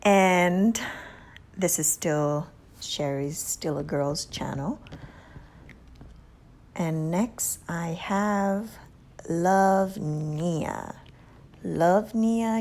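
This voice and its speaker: A woman with a Haitian accent hosting a casual vlog, giving gushing shout-outs with drawn-out emphasis and soft whispery asides. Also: fairly low pitch. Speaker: beauty channel host.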